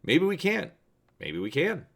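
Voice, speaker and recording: A man's voice: on the high side, huge pitch swings, measured pace, gravelly, pitched above the performer's own voice, exaggerated voice. A man voicing a stubborn, cheerful old prospector with blunt, folksy speech in a dramatic reading of a space adventure. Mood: folksy, challenging.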